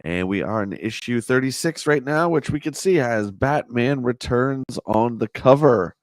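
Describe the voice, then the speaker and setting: A man with a hoarse voice hosting a casual livestream, chatting and answering viewer comments. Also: medium pitch, lively intonation, a little slow, no echo, clean studio sound. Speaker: livestream host. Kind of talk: casual live chat reading a magazine.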